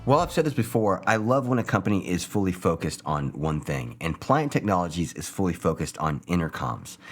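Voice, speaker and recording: A man's voice: low voice, very animated, brisk, faint music behind. A man hosting a video review with an emphatic presenter's delivery. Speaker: video host.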